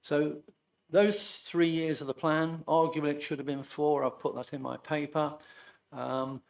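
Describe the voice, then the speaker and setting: A man making a measured, deferential statement at a public hearing, addressing the chair as sir. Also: on the high side, level tone, creaky, darkish tone. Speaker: hearing participant.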